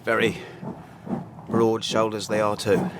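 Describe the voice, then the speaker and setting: A man's voice: fairly low pitch, monotone, takes it slowly. An actor playing a forward, insinuating male stranger in a period radio drama. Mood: familiar, insinuating. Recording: loud background noise, like a vehicle.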